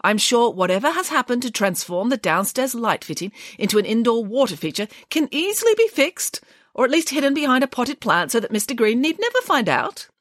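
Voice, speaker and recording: Woman doing a bossy female pig nanny, brisk, commanding, dry close sound. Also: a little high, very animated, no room sound, pitched above the performer's own voice. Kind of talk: storybook character voice.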